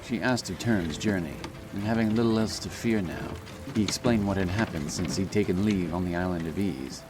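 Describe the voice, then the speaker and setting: Deep-voiced man narrating dark fantasy. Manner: measured, solemn storytelling. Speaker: audiobook narrator. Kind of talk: scripted dramatic fantasy narration. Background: background music and sound effects.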